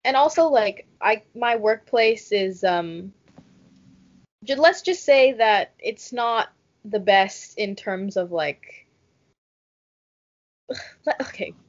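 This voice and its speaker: A woman's voice: medium pitch, expressive, takes it slowly, pauses often, highs cut off. A young woman co-hosting a podcast in casual chat, talking loosely with many fillers and a wry, guarded tone. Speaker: podcast co-host.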